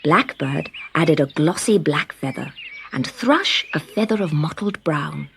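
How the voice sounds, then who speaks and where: A woman reading a scripted children's story in an expressive, deliberate storytelling manner. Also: low voice, creaky, a little hiss or hum. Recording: background music under the reading.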